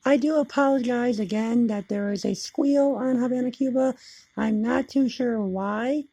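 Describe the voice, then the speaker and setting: A woman hosting a hobby video, explaining things casually in a husky, gravelly voice with an apologetic manner. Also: fairly high pitch, measured pace, mellow, slight background noise, rough recording. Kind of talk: casual demonstration narration.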